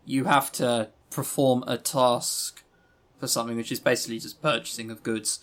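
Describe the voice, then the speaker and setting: Male podcast co-host, mid-pitched, rambling and opinionated, casual with swearing. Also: steady tone, moderate pace, fairly bright tone. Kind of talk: casual chat, game review.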